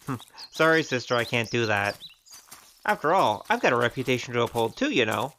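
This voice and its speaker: A man's voice: medium pitch, big pitch swings, fairly quick, pushed and bright, a little hiss or hum. A man playing a loyal, wry gambler and wandering fighter in an audio drama, casual but sincere. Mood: wry, reflective.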